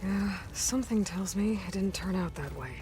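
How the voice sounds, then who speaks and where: A woman acting as a young adventuring heroine in a video game. Her mid-pitched voice is wry, practical and understated, with music clearly heard behind it. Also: normal speed, a little mellow, close to an everyday voice. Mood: curious, musing. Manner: dry, understated, casual.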